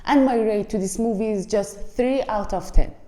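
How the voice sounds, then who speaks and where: A woman's voice: mid-range voice, moderate pace, dark tone. A woman from Iran with an Iranian accent, giving a frank, blunt movie review in casual speech and speaking to viewers as friends. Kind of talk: casual spoken film review. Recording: echoey room.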